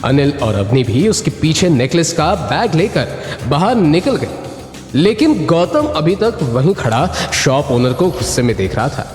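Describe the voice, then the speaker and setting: Animated male narrator in heavy reverb. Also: on the high side, measured pace. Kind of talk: dramatic scripted narration. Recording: roomy echo with long reverb. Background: music.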